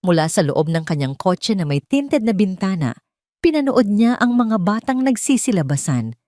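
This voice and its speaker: A woman's voice: mid-range voice, very animated, normal speed, warm tone, no room sound, studio quality. A woman narrator reading a scripted romance drama in a dramatic storytelling style.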